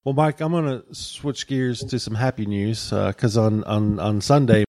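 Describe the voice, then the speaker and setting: A man co-hosting a podcast, talking casually in an earnest, supportive way with slow, deliberate phrasing. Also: on the low side, mellow, dry recording, studio quality. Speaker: podcast co-host.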